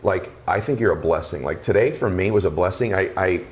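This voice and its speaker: A man hosting a podcast interview, effusive and animated, heaping praise on his guest. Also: low-pitched, brisk, slight background noise. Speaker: podcast host. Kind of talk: enthusiastic interview chat.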